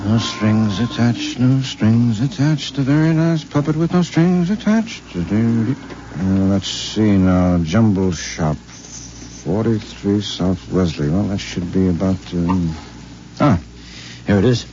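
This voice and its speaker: An actor playing a nervous, henpecked middle-aged husband who stammers and hesitates, in a 1950s radio drama. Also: male voice, fairly low pitch, lively intonation, slow pace, warm tone, slight background noise. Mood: anxious. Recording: dull old radio broadcast recording.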